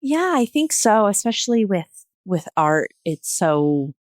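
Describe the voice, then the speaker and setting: A woman's voice: mid-range voice, very animated, measured pace, no echo, studio quality. A woman guest chatting casually and thoughtfully, feeling her way through her phrases. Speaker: podcast guest, theatre artist. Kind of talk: casual discussion.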